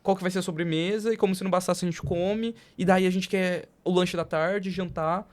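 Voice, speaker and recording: A woman's voice: lowish voice, steady tone, fairly quick. A woman with a Brazilian accent talking casually as a podcast host.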